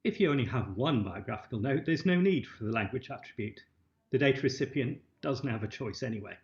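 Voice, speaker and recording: A man's voice: mid-range voice, expressive, fairly quick. A man giving a prepared instructional webinar presentation, recorded indoors. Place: indoor room. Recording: dull, roomy webcam-style recording.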